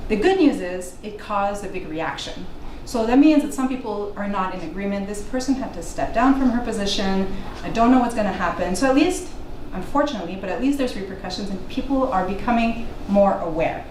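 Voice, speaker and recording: A middle-aged woman, French her first language, lectures with earnest, self-correcting restarts. Her voice sits at an ordinary pitch and is picked up from a distance in a reverberant room. A steady noise runs under the recording. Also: brisk. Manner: earnest, frequent small restarts. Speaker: guest lecturer. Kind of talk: academic talk to an audience. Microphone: room mic at a distance. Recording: echoey room from far off, noisy.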